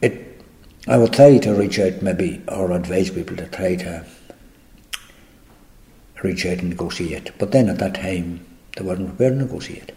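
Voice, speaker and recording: An older man with an Irish accent, answering interview questions in a halting, reflective way with many restarts. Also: deep voice, mellow. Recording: echoey room.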